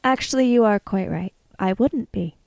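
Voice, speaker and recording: A woman's voice: mid-range voice, moderate pace, creaky, a little mellow, dry recording, lightly acted. A woman playing a polite, reserved samurai traveller in a tabletop game, speaking formally. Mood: reluctant.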